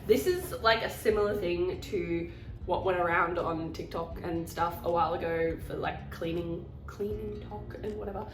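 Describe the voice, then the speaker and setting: A woman's voice: on the low side, moderate pace, roomy sound, far from the mic, background noise. A woman with a cold and a nasal voice, chatting casually about her shopping haul, rambling and poking fun at herself. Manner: chatty and rambling, self-deprecating. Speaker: vlogger.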